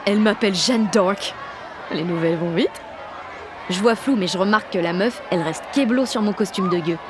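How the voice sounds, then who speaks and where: A woman playing a brash, excitable sixteen-year-old girl with grandiose ideas, narrating an audio drama in slangy, dramatic first person. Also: mid-range voice, normal speed, noisy background, close to an everyday voice. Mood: proud, excited. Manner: slangy, cocky, dramatic teenage delivery. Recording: music and street crowd behind the voice.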